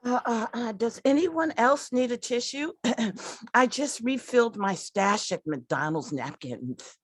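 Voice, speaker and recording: A sneaky, catty old lady played by a woman in an old-time radio comedy. Her exaggerated delivery is slowish and swoops widely, recorded close and dry. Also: fairly high pitch, expressive, breathy edge, dry recording, full character voice. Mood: sly.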